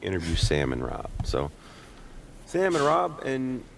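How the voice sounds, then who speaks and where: Hoarse, gravelly man hosting. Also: mid-range voice, wildly swooping pitch, measured pace, fairly bright tone, a little hiss or hum. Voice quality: rough, raspy. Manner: joking, friendly banter. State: hoarse from being sick. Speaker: podcast co-host interviewing guests. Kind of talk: casual chat.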